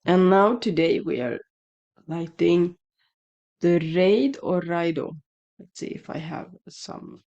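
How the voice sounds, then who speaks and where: Slow, rambling Swedish woman, a bit sniffly. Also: on the low side, warm tone, highs cut off. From Sweden. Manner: rambling, self-correcting, chatty. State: slightly sniffly. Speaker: shamanism vlogger. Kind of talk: casual morning vlog, lighting candles. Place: home indoors, dry room. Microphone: headphones with mic.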